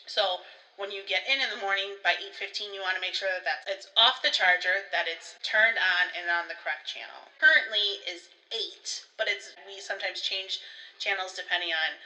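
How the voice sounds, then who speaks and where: A woman's voice: medium pitch, fairly even intonation, moderate pace, bright, forceful tone, rough recording. A woman co-hosting a conversational staff training talk, explaining procedures step by step with examples. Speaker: co-host, school staff trainer.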